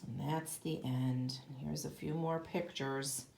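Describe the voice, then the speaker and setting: A woman's voice: low voice, steady tone, a little slow, gravelly, a little mellow, a little hiss or hum. A woman kindergarten teacher giving a read-aloud lesson to young children, explaining in simple, emphatic phrases. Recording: echoey room.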